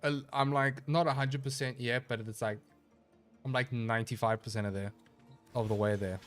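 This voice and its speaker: A man streaming a video game live, chatting casually and answering his chat in a relaxed, rambling way, sounding a little stuffy and nasal. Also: medium pitch, normal speed, faint music behind. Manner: laid-back, rambling, reads chat aloud and answers.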